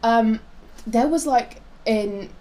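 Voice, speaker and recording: A woman's voice: on the high side, takes it slowly, warm tone. A young woman from Poland in a casual, opinionated discussion of current affairs, talking informally and rambling a little. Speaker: co-host. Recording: noticeable background noise.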